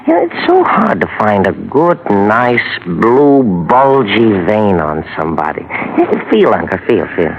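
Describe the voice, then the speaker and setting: A man's voice: on the low side, huge pitch swings, creaky, exaggerated voice. An actor playing a gleeful, fussy, sadistic henchman in an old radio crime drama, savoring his words. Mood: creepy relish. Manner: fussy, savoring, drawn-out delivery. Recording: dull, noisy old radio recording.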